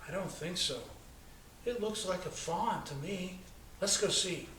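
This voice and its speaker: An American man voicing an excitable, bossy little girl in a children's storybook, chatty and insistent. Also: sits high, unhurried. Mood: confident. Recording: echoey, distant, noisy room recording.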